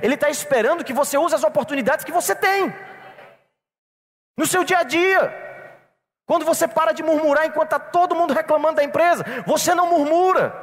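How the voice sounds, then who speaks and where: High-voiced preacher in a reverberant church. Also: male voice, brisk. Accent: non-local Brazilian. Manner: storytelling, addressing congregation as brothers. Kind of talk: sermon. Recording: long reverb in a church hall.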